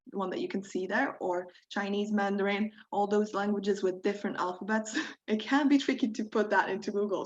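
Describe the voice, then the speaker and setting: A young woman walks viewers through a live online workshop, friendly and encouraging, slipping now and then on small grammar points. Her voice sits at a middling pitch for a woman. The stream audio is a little dull and lo-fi. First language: Croatian. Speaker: workshop leader.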